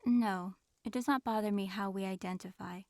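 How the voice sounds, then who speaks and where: A woman playing a proud, reserved dragon in human form, speaking formally and with measured aloofness in an audio roleplay. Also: mid-range voice, steady tone, no echo, clean studio sound, natural voice. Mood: aloof, reserved. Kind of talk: audio roleplay acting, addressing the listener.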